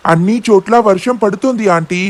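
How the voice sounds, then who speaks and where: A performer voicing an excitable young boy who calls out in exclamations in an animated children's story. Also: medium pitch, a little fast, lightly acted. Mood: alarmed, urging.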